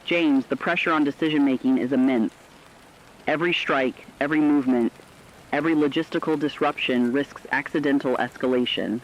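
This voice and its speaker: A man's voice: mid-range voice, steady tone, a little fast, dull audio, close to an everyday voice. A man playing a serious radio war analyst, giving scripted emergency news commentary in a formal, emphatic way. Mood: serious, urgent.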